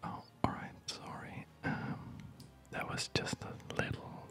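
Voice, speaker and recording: Slow, soft ASMR whisperer. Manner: reflective, hesitant, meandering. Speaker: ASMR gaming video host. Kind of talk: ASMR, unscripted rambling over gameplay. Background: music.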